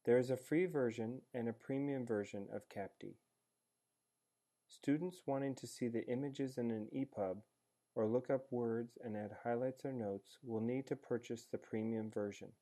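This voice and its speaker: Male narrator for a step-by-step instructional screencast; soft-edged, mellow tone, measured explanatory read. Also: mid-range voice. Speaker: narrator of a software tutorial. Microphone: screencast voice-over.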